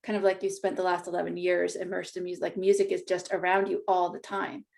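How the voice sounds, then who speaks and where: Woman host asking relaxed questions. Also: lowish voice, fast, vocal fry. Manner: relaxed, stumbling rephrased questions. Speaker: interviewer host. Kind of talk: casual interview questions.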